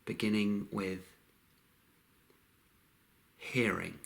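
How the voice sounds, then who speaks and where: A man leading a guided meditation in a calm, unhurried way, with long pauses between short phrases. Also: lowish voice, vocal fry.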